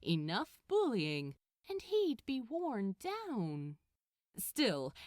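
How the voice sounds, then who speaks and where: A woman narrating a story from a script in an expressive audiobook style, recorded close and dry. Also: fairly high pitch, dry recording. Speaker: audiobook narrator of fan fiction.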